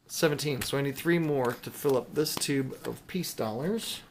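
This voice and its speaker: A man's voice: medium pitch, moderate pace. Casual man rambling over background noise. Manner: informal, rambling, thinking aloud. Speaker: hobbyist video host. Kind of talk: casual unscripted chat to viewers while showing items.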